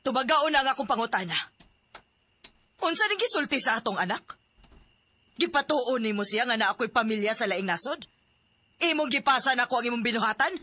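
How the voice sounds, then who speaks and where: An actress playing a patient mother who pleads gently with her grown son. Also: fairly high pitch, expressive, brisk, long pauses, a little hiss or hum. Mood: explaining.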